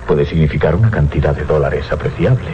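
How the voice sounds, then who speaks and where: Un actor de radioteatro de terror interpreta a un capitán de barco codicioso, burlón y teatral. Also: male voice, a bass voice, a little fast, background noise. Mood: calculating. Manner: theatrical, talks aloud to himself. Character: gruff mocking sea captain, greedy, sliding into terror. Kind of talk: radio horror drama acting. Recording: dull 1980s radio recording.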